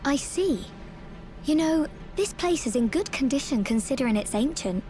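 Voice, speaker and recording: A woman's voice: high voice, expressive, moderate pace, warm tone, a little hiss or hum. An actress voicing a spirited, inquisitive young woman in a video game cutscene, speaking in a direct way. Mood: curious. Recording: music behind the voice. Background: music.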